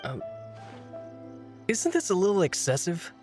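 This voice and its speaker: A man's voice: sits high, huge pitch swings, measured pace, a little mellow, exaggerated voice. A man voicing a dutiful, anxious retainer in a video game, polite and earnest. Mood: polite, probing. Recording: game music behind the voice.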